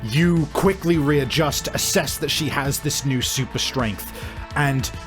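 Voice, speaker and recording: A man acting as game master, narrating and explaining rules in a halting, explanatory way, repeating words for emphasis. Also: mid-range voice, over music. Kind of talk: improvised tabletop game narration and rules talk.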